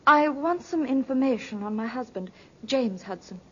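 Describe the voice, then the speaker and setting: An actress playing a reserved, suspicious wife, speaking in a formal, halting manner in 1950s radio drama style. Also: female voice, high-pitched, animated, slight background noise. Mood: guarded, hesitant. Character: reserved, hesitant wife who suspects her husband. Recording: muffled vintage radio recording.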